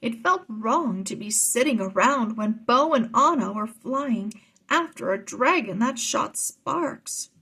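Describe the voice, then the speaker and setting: Very husky woman reading a storybook. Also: on the high side, expressive, takes it slowly, sharp tone. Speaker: storybook reader. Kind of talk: children's read-aloud narration.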